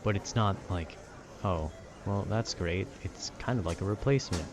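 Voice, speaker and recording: Low-voiced man rambling over background noise. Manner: halting, searching for words, nerdy enthusiasm. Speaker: voice actor making a rambling ambience video. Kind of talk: casual rambling monologue about a video game.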